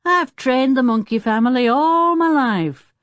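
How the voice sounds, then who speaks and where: A woman's voice: high-pitched, animated, unhurried, no room sound, pitched above the performer's own voice. A woman voicing a confident, folksy old male circus owner in a children's storybook. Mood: boastful, friendly. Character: fierce-looking but good-natured old circus-owner grandfather.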